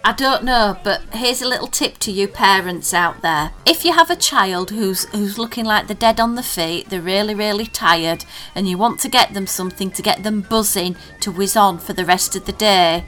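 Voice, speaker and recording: A woman's voice: medium pitch, normal speed, few pauses, sharp tone, faint music behind. A woman co-hosting a casual podcast chat, teasing her co-host and reading out listener messages. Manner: chatty, teasing, reads listener tweets aloud.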